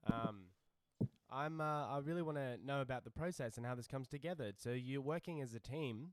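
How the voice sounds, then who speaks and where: A man's voice: mid-range voice, moderate pace, no echo. A man co-hosting a casual chat, enthusiastic and swearing casually as he asks questions.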